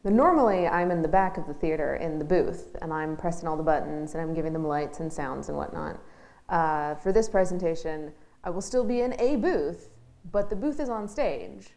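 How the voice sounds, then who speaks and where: A woman's voice: sits low, moderate pace, vocal fry, darkish tone. A woman, a stage manager being interviewed, chatting casually with a wry, jokey turn of phrase.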